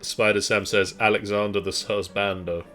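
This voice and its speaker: A man's voice: on the low side, level tone, moderate pace, a bit bright. A man giving wry, teasing casual commentary as co-host of a game stream. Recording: game music behind.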